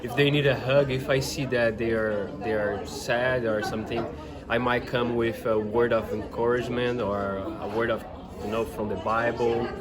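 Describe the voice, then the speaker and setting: Man, earnest, searching for words, loud crowd around. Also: mid-range voice, steady tone, normal speed. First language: Portuguese. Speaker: interviewee. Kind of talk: casual street interview.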